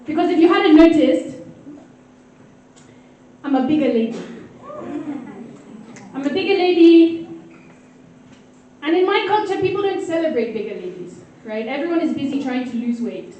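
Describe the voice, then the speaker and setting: A woman's voice: sits high, expressive, moderate pace, long pauses, warm tone, distant mic, a little hiss or hum. A woman from Kenya doing a stand-up comedy set, playfully teasing her audience. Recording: echoey hall heard from afar with crowd. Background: audience laughter and crowd murmur.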